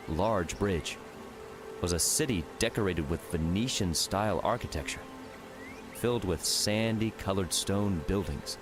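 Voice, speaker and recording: A man reading scripted fiction narration as an audiobook narrator. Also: sits low. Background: music.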